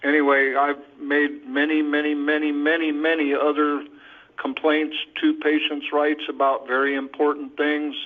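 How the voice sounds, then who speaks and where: A male caller with a slightly raised pitch and little variation in tone gives testimony over a narrow phone connection. His manner is matter-of-fact. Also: flat delivery, moderate pace. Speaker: patient caller giving a complaint. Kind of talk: spoken testimony on a conference call. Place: conference call by telephone. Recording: thin, narrow phone line.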